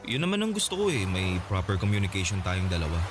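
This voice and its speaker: A man's voice: low voice, huge pitch swings, quick pace, noisy background. A man with a Filipino accent playing a patient, sincere young suitor in a Tagalog radio drama, speaking in a conversational way. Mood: sincere, eager.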